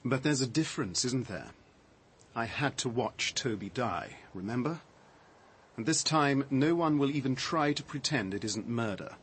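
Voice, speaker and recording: An actor playing a shaken, defensive man with a halting, reflective, dry delivery in a radio drama. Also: medium pitch, expressive, fairly bright tone, a little hiss or hum, lightly acted. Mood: defensive, sardonic. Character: shaken man recounting his discovery, defensive, sardonic.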